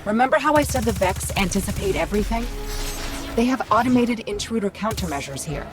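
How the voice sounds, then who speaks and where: A woman plays a small AI companion for a sci-fi game. She is witty and eager, speaking in a wry, conversational way at a middling pitch, with music playing clearly behind her. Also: expressive, a little fast, close to an everyday voice. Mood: urgent, focused. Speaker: guide companion. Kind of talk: video game dramatic dialogue.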